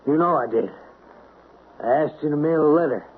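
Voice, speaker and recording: An actor playing a stern, suspicious boss who is insistent and menacing, in an old-time radio drama. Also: male voice, fairly high pitch, normal speed, breathy edge. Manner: insistent, interrogating. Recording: tinny, hissy old radio recording.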